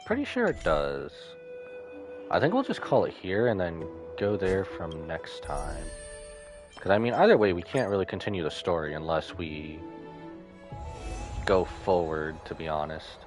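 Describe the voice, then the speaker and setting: A man's voice: low voice, sing-song, a little slow. A man livestreaming a game, casually thinking aloud as he comments. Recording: game music behind the voice. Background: game music.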